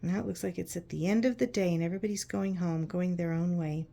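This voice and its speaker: A woman narrating a picture book to children in an expressive, conversational way, using simple explanations and checking in with questions. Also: lowish voice, fast, gravelly. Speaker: children's read-aloud host.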